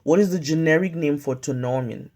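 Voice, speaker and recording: A woman tutoring pharmacy students, calmly drilling drug names in a slow question-and-pause quiz, recorded on a phone at home. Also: sits low. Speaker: pharmacy tech study tutor. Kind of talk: slow flashcard-style quiz review. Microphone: phone camera filming whiteboard.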